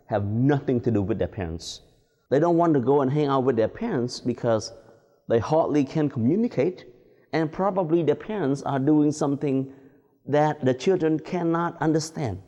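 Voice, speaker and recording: A man explains his view in a measured, steady way. His voice is dark and mellow and sits at a middle pitch. The sound is dull, with a long reverb around it. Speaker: interviewee. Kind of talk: explanatory commentary.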